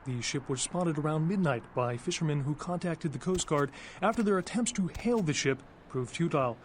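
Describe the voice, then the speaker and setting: Actor as formal TV news anchor, slightly high-pitched. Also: male voice, animated, a little hiss or hum, close to an everyday voice. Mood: formal, serious. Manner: formal, measured newsreader delivery. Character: polished male TV news anchor reading grim headlines. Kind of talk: television news broadcast reading.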